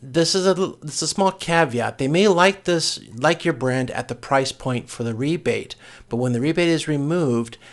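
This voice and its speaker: A man giving a recorded lecture as a course instructor, explaining step by step in a halting way and often correcting himself. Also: fairly high pitch.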